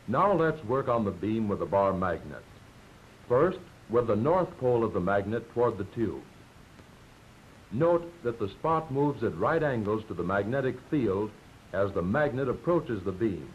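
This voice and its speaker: An American man narrating a 1940s instructional film from a script, explaining in a measured, didactic way. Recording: dull, noisy 1940s film soundtrack with rumble.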